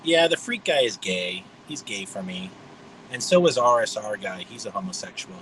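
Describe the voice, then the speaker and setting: A man in his fifties with a high voice, hosting a rambling livestream monologue in a blunt, mocking way. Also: sing-song, normal speed, a bit bright, slight background noise, rough recording. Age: 50s. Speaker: livestream host.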